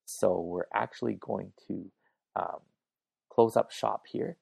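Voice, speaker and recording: A man hosting a casual solo podcast, hoarse and raspy, chatting informally and thinking aloud on a makeshift mic setup. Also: fairly low pitch, takes it slowly, darkish tone, dry recording, studio quality. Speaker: podcast host. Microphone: makeshift setup without proper recording gear.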